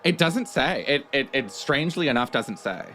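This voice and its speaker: Mid-pitched man chatting over a game. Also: lively intonation, normal speed, pushed and bright, faint music behind. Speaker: tabletop game player. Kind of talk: casual game chat.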